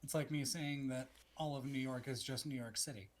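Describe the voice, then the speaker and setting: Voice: mid-range voice, steady tone, fairly quick. An American podcast co-host from Texas, chatting casually. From Texas, United States. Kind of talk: casual chat.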